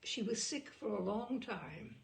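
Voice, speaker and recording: A woman reads a literary story aloud in a voice with plenty of gravel and creak. Her pitch sits in the middle range for a woman. The phrasing is measured and dramatic, shaped like an expressive audiobook narration.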